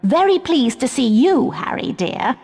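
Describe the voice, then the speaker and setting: A woman's voice: sits high, big pitch swings, unhurried, vocal fry, darkish tone, slightly rough, exaggerated voice. A woman with a British accent playing a fussing, scolding middle-aged witch mother in a video game. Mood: fond.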